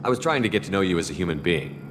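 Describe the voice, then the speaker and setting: An actor voicing a steady male commander in a video game, asking direct questions. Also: sits low, very fast. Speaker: player character. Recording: game audio with long reverb and clear hiss.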